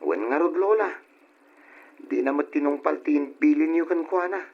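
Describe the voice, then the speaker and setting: A lo-fi recording of a troubled young man in a radio drama. His voice is fairly high, and he talks earnestly, respectful but aggrieved. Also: animated, moderate pace, creaky, slightly rough, heavily acted. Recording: dull, lo-fi old radio recording.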